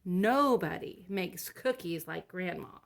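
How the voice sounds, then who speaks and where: Woman playing a lively, generous storybook mother. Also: lowish voice, takes it slowly, creaky, heavily acted. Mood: fond, sure. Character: welcoming, generous mother serving home-baked cookies.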